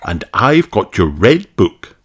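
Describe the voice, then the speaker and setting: A man's voice: fairly low pitch, very animated, slow, fairly bright tone, slight background noise, exaggerated voice. A man voicing a kindly, upbeat mother pig explaining things to her child in a storybook. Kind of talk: children's storybook read-aloud.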